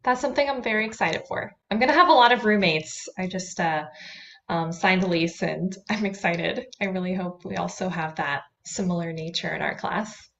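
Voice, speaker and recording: A woman's voice: on the low side, moderate pace, creaky, a bit bright. Young woman moderator, dull lo-fi audio. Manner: upbeat, reading questions aloud. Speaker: panel moderator. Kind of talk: moderating questions. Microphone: video call.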